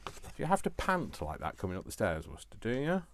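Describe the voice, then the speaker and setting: A man's voice: fairly low pitch, wildly swooping pitch, quick pace, slight background noise. A man giving a casual, chatty craft demonstration, thinking aloud and playfully muttering short repeated phrases to himself as he works. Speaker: craft tutorial host.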